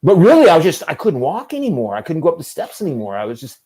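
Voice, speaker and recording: A man's voice: fairly high pitch, huge pitch swings, fast talker, warm tone, husky. A man in his fifties telling long, self-mocking stories in a casual chat as host. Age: 50s. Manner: rambling, self-deprecating storyteller.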